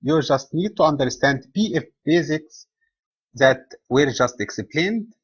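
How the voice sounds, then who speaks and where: A man from Egypt presenting a trading webinar as host, delivering a steady scripted lecture with non-native phrasing. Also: a little high, measured pace, slightly rough, lo-fi sound.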